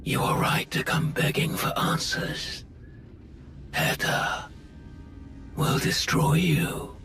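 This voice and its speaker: An actor playing a menacing, grandiose Sith lord with slow, brooding oratory in a video game. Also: male voice, music in the background, lightly acted. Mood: menacing, probing. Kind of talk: dramatic video game voice acting.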